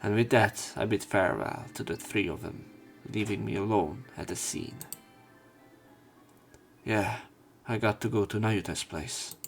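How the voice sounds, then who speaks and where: A man narrating a visual novel playthrough, reading story text and dialogue aloud with some halting pauses. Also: lowish voice, creaky. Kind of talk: scripted narration and character line reading. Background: game music, keyboard typing.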